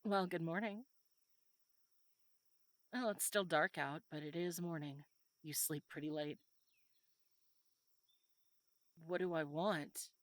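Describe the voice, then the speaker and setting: A woman playing a friendly, teasing medieval fantasy tavern local in an audio roleplay, talking in a conversational, gently instructive way to a newcomer. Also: low voice, measured pace, long pauses, dry recording. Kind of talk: audio roleplay acting, adventure ASMR.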